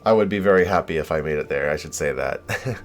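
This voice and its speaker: A man's voice: very deep voice, fast, faint music behind. A young man streaming a game, chatting casually with viewers in a modest, hesitant way full of fillers.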